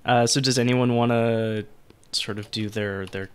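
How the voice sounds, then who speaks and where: A man's voice: medium pitch, steady tone, unhurried, smooth. A man hosting a tabletop game podcast as game master, talking in a rambling, jokey way over an online call.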